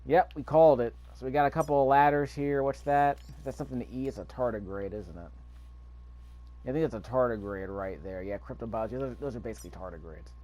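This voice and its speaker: A man's voice: medium pitch, fast talker, darkish tone. A man giving casual, off-the-cuff gameplay commentary, musing aloud and repeating his phrases. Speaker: gaming video host. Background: faint video game ambience.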